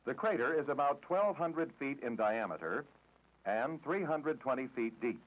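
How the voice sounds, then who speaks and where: An American man reading a formal, measured, matter-of-fact script in the style of a 1960s government documentary narrator. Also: mid-range voice, lively intonation. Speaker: training film narrator. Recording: dull, dated 1960s film soundtrack.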